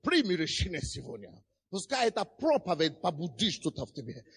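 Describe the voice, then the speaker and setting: A man preaching a passionate sermon in church, speaking Russian with a non-native accent and often shouting. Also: sits high, huge pitch swings, a little fast, husky. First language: not Russian. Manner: impassioned preaching, shouting and chanting. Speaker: pastor.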